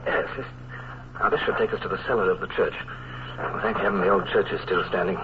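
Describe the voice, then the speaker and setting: An actor playing a wry, impatient naval commodore in an old radio drama, also narrating, with a clipped officer's manner. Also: male voice, sits low, fast, lightly acted. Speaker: narrator and lead. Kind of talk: 1950s radio drama acting. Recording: tinny, hissy old radio broadcast.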